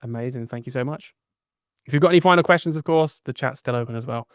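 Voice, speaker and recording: Brit guy hosting a webinar on a call, talks super fast, smooth clear voice, dry sound. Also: medium pitch, no room sound. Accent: British. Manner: upbeat, thanks people a lot, jokey. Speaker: webinar host. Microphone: video call.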